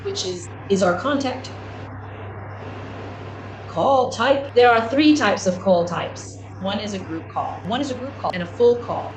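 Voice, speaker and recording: Woman demoing a gadget step by step, kinda halting and repeats herself, noisy room sound. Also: mid-range voice, expressive, a little mellow. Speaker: product demonstrator. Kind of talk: step-by-step spoken product tutorial. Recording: clearly noisy room ambience.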